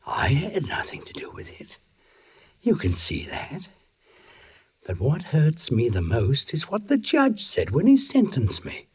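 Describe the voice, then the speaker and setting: An actor playing a tormented, menacing condemned strangler in theatrical, brooding 1940s radio thriller style. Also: male voice, on the high side, huge pitch swings, measured pace, slightly rough, whispered, exaggerated voice. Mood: anguished threat. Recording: dull 1940s radio broadcast.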